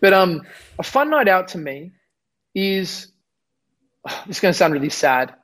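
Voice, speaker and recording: A man's voice: sits high, big pitch swings, unhurried, a bit bright. A young man, a musician chatting in an interview with a slightly worn voice, rambling and self-deprecating. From Australia. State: losing his voice. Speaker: musician guest. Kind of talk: casual interview chat.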